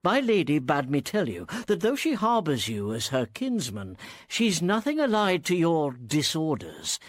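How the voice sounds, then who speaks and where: An actor playing a pompous, puritanical household steward in a Shakespearean radio comedy, haughty and reproving. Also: male voice, on the high side, huge pitch swings, measured pace, no room sound, full character voice. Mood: stern, disapproving.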